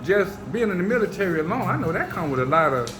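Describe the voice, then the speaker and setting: A man's voice: high voice, very animated, quick pace. A man hosting a casual podcast interview, affirming and informal in his speech. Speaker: podcast host. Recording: echoey room, music behind.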